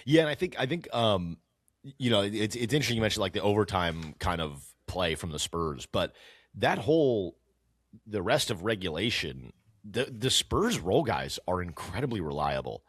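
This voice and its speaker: Deep-voiced man, loud and carrying. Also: sing-song, moderate pace. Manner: loud carrying voice, agreeable, backchannels often. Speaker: podcast co-host. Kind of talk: casual sports chat.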